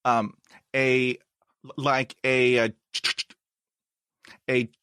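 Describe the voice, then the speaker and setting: Podcast host guy, stutters, talks real slow, dry close sound. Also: mid-range voice, little pitch movement, a bit bright, clear, resonant tone, no echo. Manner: stutters with repeated sounds, storytelling. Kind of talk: casual interview chat.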